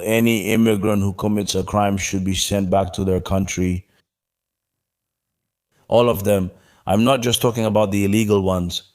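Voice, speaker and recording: Interview guest: man from Morocco, low pitch, blunt, speaks in repeated short phrases. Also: a little mellow. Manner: blunt, repeats his points. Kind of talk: casual interview talk.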